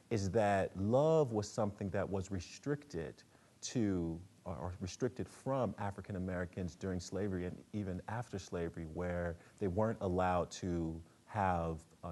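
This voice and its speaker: A man giving a prepared literary talk that introduces dramatic readings, with measured, halting phrasing. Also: low-pitched, warm tone. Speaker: speaker, literary presenter.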